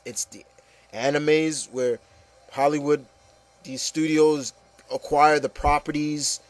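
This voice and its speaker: A man's voice: on the high side, dry recording. Man in an emphatic opinion rant, close dry sound. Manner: emphatic, repetitive, peppered with okay and you know. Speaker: host. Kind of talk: casual opinion rant with co-hosts.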